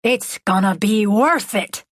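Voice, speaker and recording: A woman voicing a folksy, charismatic male megachurch preacher, preaching with drawn-out words and dramatic pauses. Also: medium pitch, dry recording, studio quality. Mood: rousing. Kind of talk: audiobook character voice.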